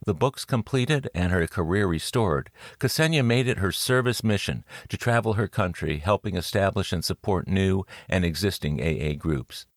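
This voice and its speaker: A man hosting an interview podcast, speaking in a measured, articulate way. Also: low voice, no echo, studio quality. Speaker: podcast host. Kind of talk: scripted introduction, then interview.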